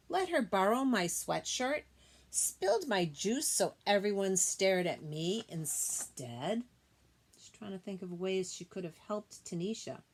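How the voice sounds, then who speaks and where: A woman teacher reading a children's picture book aloud expressively, pausing to explain things gently and simply to young listeners. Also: mid-range voice, slight background noise.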